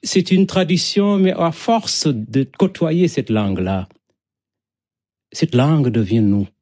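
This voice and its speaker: Man, middle-aged, Senegalese accent, native Wolof speaker, mellow husky tone, reflective storyteller, poetic repetition. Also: a little high, lively intonation, slow, no echo, studio quality. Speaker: storyteller, interview guest. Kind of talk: reflective interview talk.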